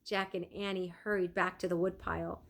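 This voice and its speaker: A woman reading a children's storybook aloud like a teacher, expressive and deliberate, pausing to put questions to her listeners. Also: on the low side, vocal fry.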